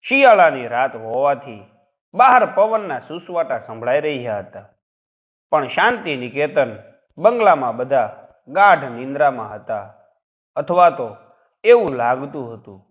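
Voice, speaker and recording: A man narrating a scripted dramatic story in a suspenseful, expressive way. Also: mid-range voice, unhurried, long pauses, dull audio.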